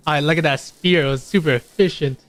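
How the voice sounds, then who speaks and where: A man's voice: on the high side, normal speed, fairly bright tone. Casual, playful male game streamer. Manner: informal, playful. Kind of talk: casual gameplay commentary.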